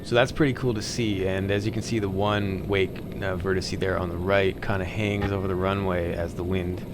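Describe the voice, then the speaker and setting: A man with a deep voice and flat intonation gives a casual explanatory voice-over. He speaks as a pilot reviewing his flight. Loud aircraft noise runs underneath. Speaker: pilot narrating own flight video. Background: loud plane engine drone.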